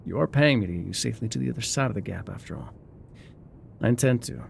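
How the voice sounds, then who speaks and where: A man's voice: medium pitch, animated, fast talker, creaky, a little hiss or hum. A man playing an enigmatic, wry guide through dangerous wilds in an intimate ASMR roleplay, musing, teasing and often trailing off. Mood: wry, protective.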